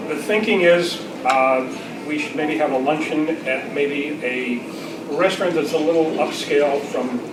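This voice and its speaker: Heard from far off in an echoing room thick with noise, a man with a slightly high voice runs an informal club meeting. He rambles hesitantly through his announcements. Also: unhurried. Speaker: club officer chairing a luncheon meeting. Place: large indoor luncheon room. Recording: distant mic, echoey noisy room.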